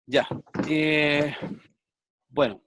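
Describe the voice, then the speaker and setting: A man's voice: fairly high pitch, steady tone, extremely slow, fairly bright tone, no echo. A man in his fifties with a Chilean accent, speaking as a guest artist on a video call in a thoughtful, hesitant way with many fillers. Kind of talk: conversational interview, reflective.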